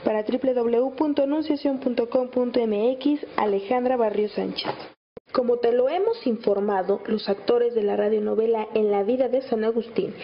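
A Mexican woman working as a reporter, interviewing and presenting. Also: a little high. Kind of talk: interview questions and scripted reporting. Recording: slightly muffled, faint noise.

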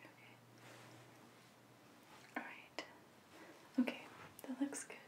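A woman in her twenties whispering softly and reassuringly in an ASMR medical roleplay, as an examiner talking to a patient. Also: echoey room, distant mic. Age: 20s. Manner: soft, slow, soothing, reassuring. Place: small plain indoor room.

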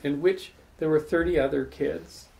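A man's voice: fairly high pitch, steady tone, normal speed, gravelly, warm tone, low-quality recording. A man hosting a casual salon gathering, talking thoughtfully in long rambling stories, recorded indoors in a living room. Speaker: salon host. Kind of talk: reflective storytelling in casual group talk.

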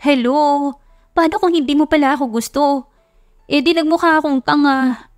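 Lady narrator doing a young girl in love, high voice, emotional n feisty, romance radio drama style, music under it. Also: moderate pace, no room sound, clean studio sound, lightly acted. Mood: hurt, indignant. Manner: emotional, exclaiming, teasing. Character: tearful, feisty young woman in love, disbelieving.

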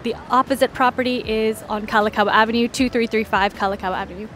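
A woman's voice: fairly high pitch, fairly even intonation, fast, fairly bright tone, a little hiss or hum. A woman hosting a travel vlog, narrating a walking tour in a casual, informative, conversational way, pointing things out in an orderly fashion. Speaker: travel guide and vlog host.

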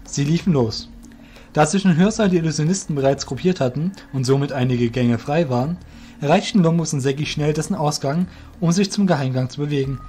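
A man's voice: a little high, a little fast, warm tone, soft background music. A man reading scripted fantasy story narration as the narrator of an audio drama.

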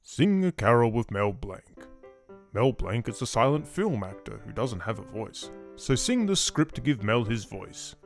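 A man's voice: medium pitch, very animated, a little slow, creaky, soft background music. A man presenting a children's show from a script.

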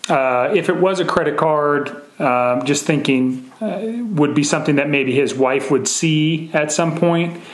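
A man's voice: on the high side, a little slow, hardly any pauses. American male investigator in a very echoey recording. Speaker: police investigator, interviewer. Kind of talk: questioning and summarizing in an interview. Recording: very echoey phone interview recording.

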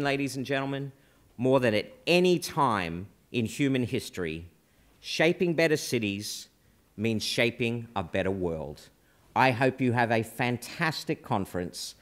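A man, giving a measured, emphatic welcome address from a lectern in a large lecture theatre. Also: medium pitch. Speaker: university leader giving welcome speech. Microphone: lectern microphone.

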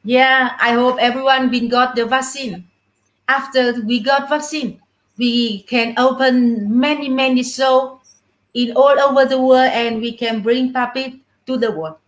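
A middle-aged woman from Vietnam speaks English with a Vietnamese accent. Her voice sits a little high and she takes her time, building simple, halting phrases as a guest in a conversational interview. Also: fairly even intonation, poor audio. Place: small indoor room with puppets, on a video call. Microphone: video call device at arm's length.

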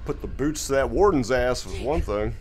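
Male let's play host: casual, blunt, griping, animated intonation, light game score underneath. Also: mid-range voice, normal speed, soft background music. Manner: blunt, foul-mouthed, griping. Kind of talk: casual gameplay commentary.

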